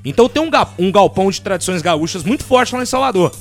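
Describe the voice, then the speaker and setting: Man, Minas Gerais accent, podcast co-host, high-pitched, easygoing explainer, faint music underneath. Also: expressive, quick pace. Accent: Minas Gerais Portuguese. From Brazil. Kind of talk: casual podcast chat.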